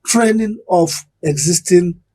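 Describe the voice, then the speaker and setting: A middle-aged man with a Nigerian accent and a husky voice, methodically explaining his points as an expert guest in a broadcast interview. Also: sits high, takes it slowly, a little mellow.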